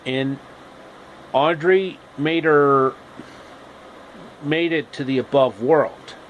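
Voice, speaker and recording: Slow-talking man telling a story, background noise. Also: a little high, steady tone, low-quality recording. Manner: halting, repeats phrases. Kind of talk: improvised comic retelling of a fairy tale.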